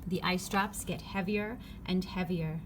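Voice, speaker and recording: A woman reading a children's picture book aloud, phrasing it clearly and simply for young listeners. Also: on the low side, steady tone, unhurried. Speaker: read-aloud narrator. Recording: clearly noisy background ambience.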